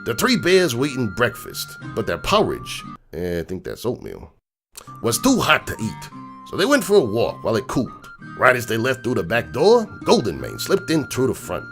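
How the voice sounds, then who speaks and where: A man's voice: mid-range voice, wildly swooping pitch, moderate pace, fairly bright tone. Man as streetwise horse uncle, cynical wiseguy, wildly varied intonation, rambling storybook narration, faint music. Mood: wry, instructive. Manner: slangy wiseguy, cynical, digressing. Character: gruff streetwise crook horse uncle telling bedtime stories. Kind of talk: dramatic reading of a comic bedtime story. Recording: music bed behind the voice.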